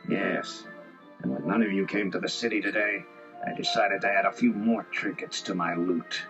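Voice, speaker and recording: An actor playing a sly, greedy thief in a children's audio storybook, smug and gloating. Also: male voice, low-pitched, moderate pace. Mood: gloating, resentful. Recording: dull lo-fi tape, music behind.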